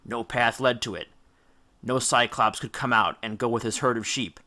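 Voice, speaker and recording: A man reading an epic story aloud as an audiobook, with theatrical, emphatic delivery and deliberate dramatic stresses. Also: mid-range voice, fairly even intonation, sharp tone. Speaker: audiobook narrator. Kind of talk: dramatic scripted storybook narration.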